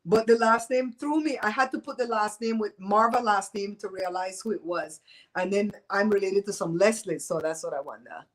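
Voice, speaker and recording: A woman's voice: mid-range voice, moderate pace. A woman in her fifties from Belize with a Belizean Creole accent, hosting a live show and chatting bluntly and gossipily with viewers, laughing often. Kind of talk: casual chat with live viewers.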